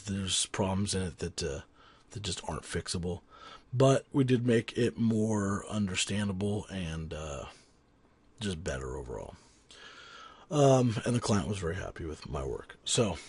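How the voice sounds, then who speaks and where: A man with a somewhat low, husky voice walks through an audio tutorial while fighting a cold. He talks in a loose, rambling way, full of ums and restarts, and keeps it offhand rather than polished. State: has a cold, voice raspy. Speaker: sound engineer teaching a tutorial. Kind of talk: casual screen-recorded software tutorial.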